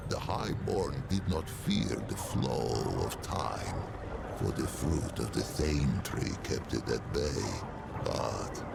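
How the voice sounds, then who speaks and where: A man voicing an ancient, weary, non-human priest of the dead in a video game, with a gravelly voice and a slow, formal, archaic, musing manner. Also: very noisy, heavily acted. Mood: rueful reminiscence. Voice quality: deep gravelly creaking voice. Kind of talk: theatrical video game character dialogue. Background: music.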